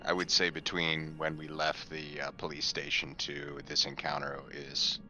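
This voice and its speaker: A man's voice: a bass voice, completely flat delivery, unhurried, pushed and bright, soft background music. A man playing in a tabletop game, asking questions hesitantly and breaking off mid-sentence. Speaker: player. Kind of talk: casual chat at a game table.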